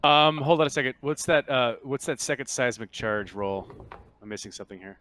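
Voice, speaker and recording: A man's voice: medium pitch, fairly quick, a bit bright, no echo. A man speaking casually as game master, refereeing a tabletop roleplaying game.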